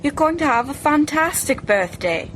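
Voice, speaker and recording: A woman's voice: sits high, animated, normal speed, a bit bright, pitched above the performer's own voice, poor audio. A woman voicing a mischievous, melodramatic teenage schoolboy in an audiobook, teasing and wheedling. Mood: cajoling. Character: cheeky, theatrical, mischievous teenage schoolboy prankster.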